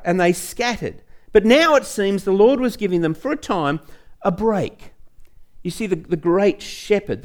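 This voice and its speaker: A man preaching a teaching sermon in a conversational way, repeating phrases for emphasis and putting rhetorical questions to the listener. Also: sits high.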